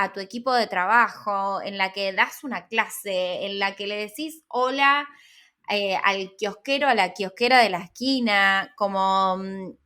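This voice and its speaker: A woman's voice: medium pitch, pushed and bright. A woman with an Argentine accent in casual interview conversation, thinking aloud informally as she repeats and rephrases. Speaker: interviewer and personal branding consultant.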